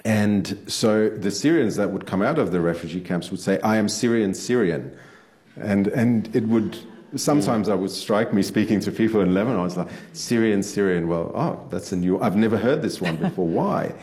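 A man speaking as a guest on a panel, halting and full of fillers and restarts. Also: lowish voice, darkish tone. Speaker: author guest. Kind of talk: panel discussion. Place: on stage before an audience.

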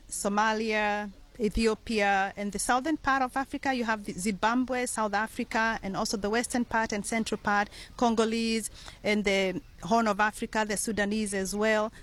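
A middle-aged woman from Kenya with a Kenyan accent, a community leader answering radio interview questions in an earnest, measured way with frequent pauses. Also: medium pitch, a bit bright.

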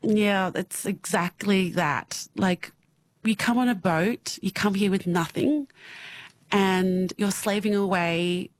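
A woman's voice: medium pitch, slow, slight background noise. Australian woman, thirties, interview guest, candid, laughs often, stumbles and restarts. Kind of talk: personal interview.

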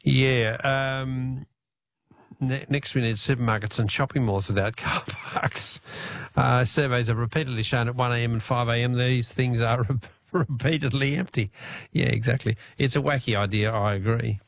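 A man's voice: medium pitch, fairly even intonation, moderate pace, creaky, no room sound. A man with an Australian accent hosting talkback radio, chatty, often restarting his sentences.

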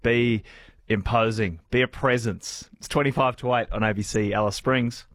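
An Australian man hosting morning radio, chatting and reading out listener texts in a relaxed way. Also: medium pitch, moderate pace, a little hiss or hum. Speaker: radio host. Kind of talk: casual morning radio chat. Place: radio studio.

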